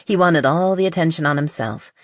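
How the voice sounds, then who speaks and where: A woman voicing a blunt, honest, skeptical but loyal best friend in an audiobook, with a dry teasing manner and husky voice. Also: sits low, a little fast, dry recording, close to an everyday voice.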